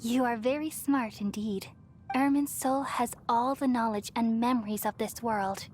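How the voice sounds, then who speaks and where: A woman playing a serene, selfless ancient goddess of wisdom in a game, speaking in a measured, solemn, gently reassuring way. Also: on the high side, fairly even intonation, faint music behind, natural voice. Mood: gentle, accepting. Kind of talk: scripted dramatic game dialogue.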